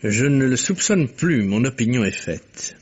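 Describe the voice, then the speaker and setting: An actor playing a shrewd, unruffled detective in a vintage French radio mystery, speaking in a dry, terse, knowing way. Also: male voice, medium pitch, animated, measured pace, husky. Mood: thoughtful, decided. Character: shrewd, unruffled male amateur detective, dryly sceptical listener. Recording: dull, muffled old radio recording.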